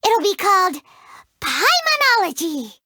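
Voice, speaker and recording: A woman voicing a tiny, excitable, greedy female fairy companion in a game who talks about herself in the third person. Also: very high-pitched, measured pace, no echo, clean studio sound, heavily acted. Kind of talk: game voice acting.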